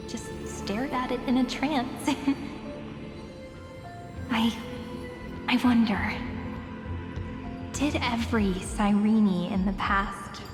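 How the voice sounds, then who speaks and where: A woman playing a gentle, wistful young woman in an anime video game, with a dreamy, deliberate storyteller's manner. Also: on the high side. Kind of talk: English-dubbed anime video game acting. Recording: very echoey long hall, music behind.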